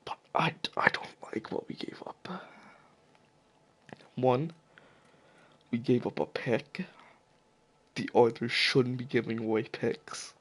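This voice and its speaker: A man giving casual, profane solo commentary while slowly losing his voice, stumbling over names as he goes. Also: medium pitch, fairly bright tone, in a whisper. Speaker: hockey video commentator.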